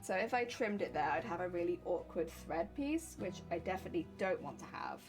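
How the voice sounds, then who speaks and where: A woman's voice: lowish voice, lively intonation, a little fast, over music, poor audio. A woman chatting casually on a livestream, explaining her sewing steps as she works and laughing often. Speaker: cosplay crafting streamer.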